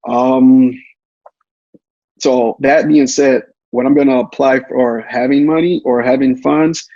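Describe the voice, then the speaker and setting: A man's voice: mid-range voice, fairly even intonation, slow, dark tone, no echo, lo-fi sound. A man giving tips as an interview guest in a casual, repetitive way, often checking in with "right?". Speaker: guest, real estate investor.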